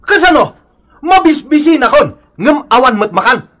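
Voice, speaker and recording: Irascible jealous radio drama husband, Ilocano accent, high-pitched, wildly swooping, blustering delivery. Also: male voice, sing-song, normal speed, a bit bright, exaggerated voice. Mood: angry, jealous. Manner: blustering, scolding.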